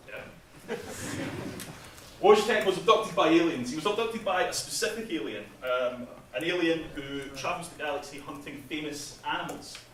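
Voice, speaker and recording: Man presenting in an echoey hall. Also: on the high side. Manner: chatty, laughs at his own jokes, many ums. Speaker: game developer presenting at an industry event. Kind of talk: casual conference presentation. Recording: echoey hall, speaker far from mic, faint noise.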